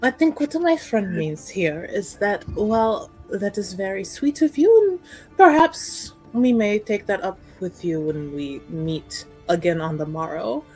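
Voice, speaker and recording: A woman playing a courteous, diplomatic noblewoman in a tabletop game, with measured, tactful phrasing. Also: medium pitch, lively intonation, mellow, faint music behind, lightly acted, low-quality recording. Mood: politely evasive.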